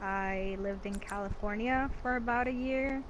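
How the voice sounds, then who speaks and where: Young woman from Mexico, Spanish native, casual guest, chatty, clear background noise. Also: fairly high pitch, moderate pace, lo-fi sound. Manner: chatty, filler-heavy with "like". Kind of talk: casual interview chat.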